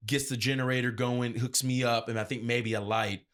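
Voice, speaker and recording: Guy telling dj stories in an interview, medium pitch, slangy and animated, real casual. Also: normal speed. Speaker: interview guest, event DJ. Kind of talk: casual anecdotal storytelling.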